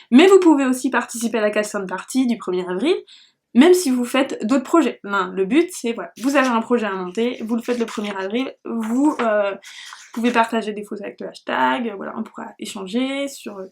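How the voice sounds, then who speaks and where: A woman with a fairly high voice talks casually to her viewers as a podcast host. Her delivery is loose and scattered, with a self-deprecating streak, as she searches for where she was going. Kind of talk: casual rambling vlog talk to viewers.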